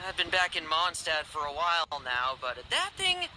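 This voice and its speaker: Wry, restless young knight. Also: male voice, a little high, wildly swooping pitch, normal speed, noisy background. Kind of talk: anime video game dialogue acting. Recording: loud game music behind. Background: loud music.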